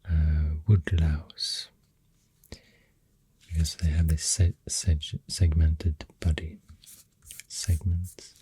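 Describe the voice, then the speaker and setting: A soft-spoken ASMR host with a Swedish accent, half-whispering in a breathy voice and hesitantly rambling up close to the microphone. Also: very deep voice, little pitch movement, no echo. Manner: hushed, hesitant, pausing mid-thought. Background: pencil writing sounds.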